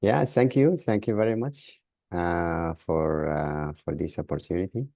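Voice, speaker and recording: Deep, creaky Sudanese-accented man, halting delivery. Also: dark tone, no room sound, clean studio sound. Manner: halting, repeats words while searching for phrasing. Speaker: podcast guest. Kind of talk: interview answers.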